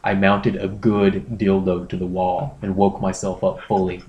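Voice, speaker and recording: Male reader as shameless online confessor: lowish, hushed, straight-faced dramatic reading, roomy recording. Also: completely flat delivery, measured pace, muffled recording. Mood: deadpan confession. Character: earnest, shameless male forum poster confessing. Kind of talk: dramatic reading of a forum post. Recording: roomy echo, background noise.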